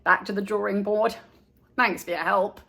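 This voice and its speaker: A woman's voice: medium pitch, fairly even intonation, normal speed, gravelly, fairly bright tone, husky. A woman voicing a hearty, good-natured man selling homemade relish in a storybook, friendly and plain-spoken.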